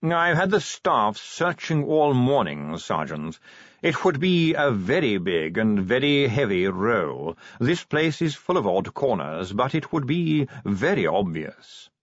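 A man's voice: medium pitch, big pitch swings, slow, no room sound, lo-fi sound, full character voice. A man voicing a pompous, proud upper-class museum official with a precise, condescending manner, in an audiobook story.

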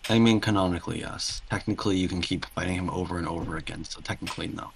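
Low, gravelly man chatting casually. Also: fast talker, a little hiss or hum. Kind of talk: casual chat.

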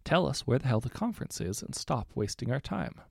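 A man voicing an impatient, sharp-tongued grown woman with a curt, growling delivery. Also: mid-range voice, very animated, brisk, vocal fry, husky, no room sound, studio quality, pitched above the performer's own voice, exaggerated voice. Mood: irritated.

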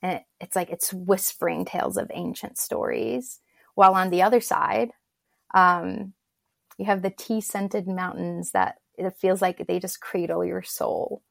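Gravelly low-voiced woman from Turkey. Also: steady tone, measured pace, dry recording, studio quality. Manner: reflective and encouraging. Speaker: guest. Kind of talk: casual interview talk.

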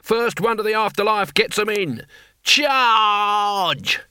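A man voicing a gruff, cheerful, very old male barbarian leader who talks blunt and plain. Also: a little high, animated, normal speed, fairly bright tone, no echo, studio quality.

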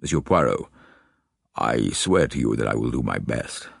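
Audiobook statesman played by a male reader: deep British lord, dignified, earnest, slightly baffled, slow and halting. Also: very animated, gravelly, no echo, studio quality, heavily acted.

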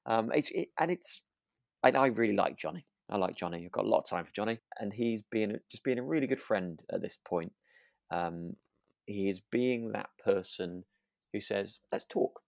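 A man co-hosting a podcast in a relaxed conversational style, hesitant and often rephrasing as he goes. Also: lowish voice, normal speed, vocal fry, no room sound, clean studio sound. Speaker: podcast co-host. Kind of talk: relaxed conversational discussion of a radio drama.

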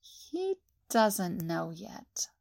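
An actress playing a calm, serious, sensible seer in an audio drama, measured and earnest. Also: female voice, mid-range voice, vocal fry, dry recording, studio quality, full character voice.

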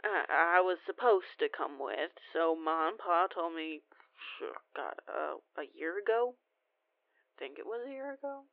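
A woman's voice: lowish voice, expressive, unhurried, no echo. A woman playing a cheeky, rambling young female werewolf cousin in an audio roleplay phone call, sheepish, folksy and a bit foul-mouthed. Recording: tinny band-limited phone line, very dry.

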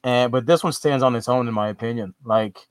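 Male co-host, mid-pitched, rambly, swears casually, dry close sound. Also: fairly quick, clear, resonant tone, dry recording. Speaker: podcast co-host. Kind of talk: casual movie review chat.

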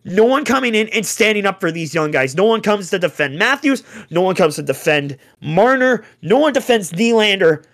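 Hoarse man ranting about a game. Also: high voice, animated, moderate pace, few pauses, a bit bright. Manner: heated, exasperated, rhetorical questions. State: getting hoarse. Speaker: podcast co-host, hockey fan. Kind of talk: casual sports talk, ranting.

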